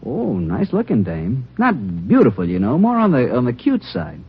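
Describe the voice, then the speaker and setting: A nervous counterman at an all-night donut shop who rambles on, says sorry and repeats himself. The husky voice swings lively in pitch. It comes through a dull, muffled 1950s radio broadcast. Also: moderate pace, full character voice. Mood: chatty. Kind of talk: 1950s radio drama acting.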